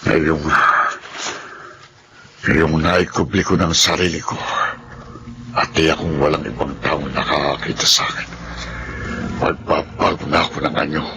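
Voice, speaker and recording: Creepy filipino village captain, secret shapeshifter, horror radio play, deep voice, draggy slow delivery. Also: male voice, flat delivery, background noise. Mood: menacing secrecy. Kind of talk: horror radio drama acting.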